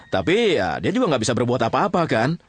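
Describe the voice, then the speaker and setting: A man's voice: medium pitch, huge pitch swings, a little fast, slightly rough, dry recording. A man narrating a radio drama as a colloquial storyteller, chatty, theatrical and teasing.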